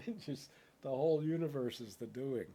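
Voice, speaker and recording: A man's voice: medium pitch, normal speed, mellow, breathy edge. A man teaching in a contemplative dharma talk. He speaks in poetic phrases and often checks in with "right?". English is his first language. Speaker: Buddhist meditation teacher.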